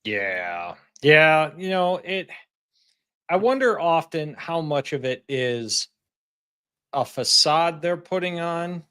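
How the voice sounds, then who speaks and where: A man co-hosting a podcast, easygoing and agreeable, thinking out loud in casual chat. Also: a little high, big pitch swings, slow, smooth. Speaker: podcast co-host.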